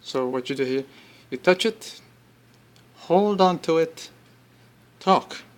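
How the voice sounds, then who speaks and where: A man presenting an informal product demonstration, explaining step by step in halting phrases and repeating himself. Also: fairly high pitch, lively intonation. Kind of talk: informal spoken product demo.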